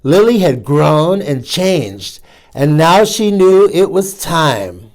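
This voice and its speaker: Male storytime host reading a kids' picture book, lively and playful, unhurried, slightly raised pitch. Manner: animated, playful asides to young listeners.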